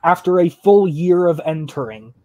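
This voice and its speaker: A man's voice: sits high, normal speed, dark tone, lo-fi sound. A young man, an engineering student, answering interview questions casually and hesitantly, with many fillers. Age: young adult. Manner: hesitant, filler-heavy, laughing at himself.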